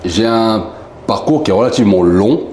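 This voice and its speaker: Low-voiced, chatty French-born man in a roomy room. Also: expressive, moderate pace, a little mellow. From France. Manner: chatty and jokey, self-mocking, many fillers. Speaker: founder of a poker company, interview guest. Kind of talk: casual interview answers. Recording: roomy room with faint noise.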